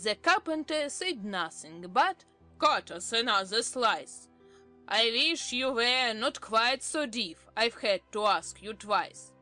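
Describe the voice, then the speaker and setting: A woman's voice: fairly high pitch, lively intonation, takes it slowly, sharp tone, soft background music, heavily acted. A woman playing a petulant storybook twin brother who recites verse theatrically. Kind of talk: dramatized audiobook acting.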